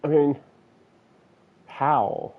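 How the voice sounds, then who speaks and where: A man's voice: on the high side, drawn-out words, narrow, tinny audio. A man who streams games, giving casual live commentary in a slangy, joking way. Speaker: game streamer. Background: game music.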